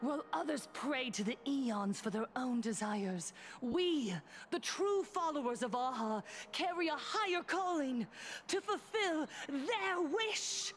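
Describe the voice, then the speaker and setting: An actress playing a fervent female cult preacher of happiness in a video game, solemn and theatrical, with breathy whispered phrase endings. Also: high-pitched, expressive, takes it slowly, a little hiss or hum. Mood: fervent, exalted. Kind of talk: theatrical game voice acting, sermon-like speech.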